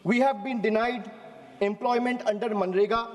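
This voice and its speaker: A man with an Indian English accent giving an oratorical parliamentary speech from a desk microphone, using rhetorical repetition and measured emphasis. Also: high-pitched, steady tone, long reverb tail. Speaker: member of parliament. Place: large parliamentary chamber.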